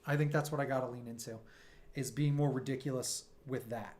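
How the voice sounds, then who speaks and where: A man's voice: mid-range voice, brisk. A man in his thirties with a New York accent, chatting casually and animatedly while livestreaming his art from home. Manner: animated, self-deprecating, loose and chatty. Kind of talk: casual rambling livestream chat. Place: home streaming room. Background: soft synth music.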